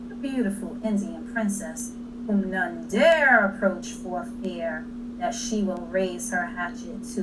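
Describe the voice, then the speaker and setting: A distant, noisy recording carries a woman's voice reading a storybook aloud. She takes her time over each phrase. Her delivery is expressive and deliberate, with emphasis on key words for young listeners. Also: medium pitch. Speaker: storybook reader. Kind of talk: expressive children's read-aloud narration. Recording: distant mic with clear background noise.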